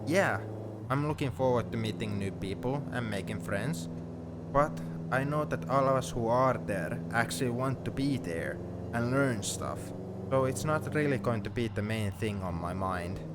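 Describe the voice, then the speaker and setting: A man playing a young, sentimental and gently teasing best friend in an intimate audio roleplay, speaking in a conversational, reflective way. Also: mid-range voice, close to an everyday voice. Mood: light, reassuring. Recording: steady car noise behind the voice. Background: car ambience.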